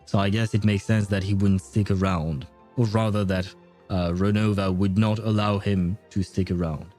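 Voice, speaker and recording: A man livestreaming a video game, chatting casually with viewers in a rambling, halting way. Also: fairly low pitch, level tone, a little mellow, soft background music. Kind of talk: casual livestream chat and commentary.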